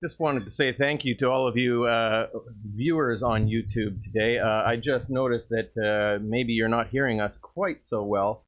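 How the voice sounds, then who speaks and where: A man with a booming voice doing play-by-play commentary for a baseball game, lifting his voice on the plays. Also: fairly even intonation, normal speed. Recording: dull lo-fi stream audio.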